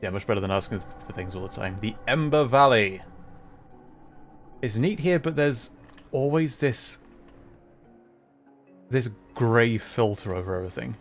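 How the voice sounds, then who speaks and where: A man's voice: mid-range voice, lively intonation, unhurried, long pauses. Easygoing male streamer over faint music. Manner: easygoing, thinking aloud. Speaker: livestreamer. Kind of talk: casual game stream commentary.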